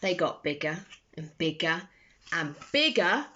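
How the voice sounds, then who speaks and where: A young woman reading a children's bedtime story aloud in an expressive, deliberate way, recorded on a phone in a bedroom. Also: low voice. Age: young adult. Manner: slow, deliberate, animated phrasing for children. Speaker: storybook reader. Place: bedroom, indoors. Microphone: phone at arm's length. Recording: slightly dull phone recording.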